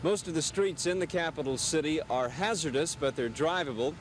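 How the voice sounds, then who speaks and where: A man working as a television news reporter, giving a measured on-location report outdoors beside a highway, with some hesitations. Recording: noisy outdoor field recording.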